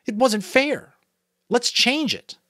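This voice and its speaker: A man's voice: very high-pitched, expressive, slow, a bit bright, no room sound, studio quality, voice pushed up, exaggerated voice. A man voicing an earnest young boy who speaks eagerly and turns indignant. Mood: angry. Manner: eager, pleading, rising to anger.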